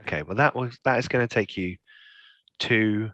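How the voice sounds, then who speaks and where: A man running a tabletop game as game master, encouraging and clearing his throat often. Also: on the low side, normal speed, fairly bright tone, no echo, dull audio. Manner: affirming, frequent throat clearing.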